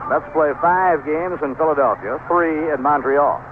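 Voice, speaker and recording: Male American baseball announcer, slightly high voice, live play-by-play, narrow vintage AM radio sound, faint noise. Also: a little slow. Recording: narrow, noisy 1970s AM radio broadcast.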